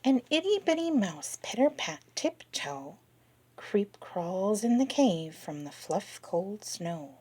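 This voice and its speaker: A woman reading a children's picture book aloud, talking directly to the kids and dropping to a soft whisper on the refrains. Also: mid-range voice. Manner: slow, expressive, talks directly to children.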